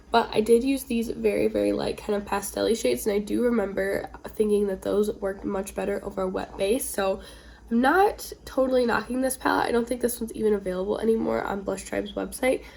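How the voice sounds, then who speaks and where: Chatty woman, husky and creaky with a cold. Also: fairly high pitch, darkish tone. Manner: informal, chatty, rambling asides. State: getting over a cold. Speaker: beauty video creator, host. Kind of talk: casual chatty beauty vlog.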